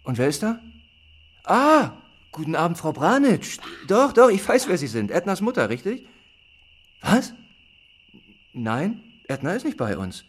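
Tired dad in a radio play, kinda blunt and teasing, voice goes up and down a lot. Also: male voice, high-pitched, big pitch swings, unhurried, long pauses, husky, exaggerated voice. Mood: urgent, reassuring. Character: tired, teasing husband and father, taking charge.

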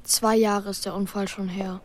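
A woman's voice: medium pitch, flat delivery, normal speed, natural voice. A woman playing a sad, thoughtful child in a radio play, speaking hesitantly and matter-of-factly. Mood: wistful, subdued. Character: Bela, sad thoughtful child with a comatose brother. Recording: long hall reverb.

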